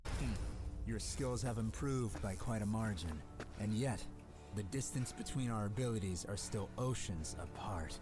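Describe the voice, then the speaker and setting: A man's voice: lowish voice, natural voice. Man acting a self-assured game hero over music. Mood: coolly superior. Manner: measured, presentational delivery. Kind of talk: dramatic voice acting demo reel.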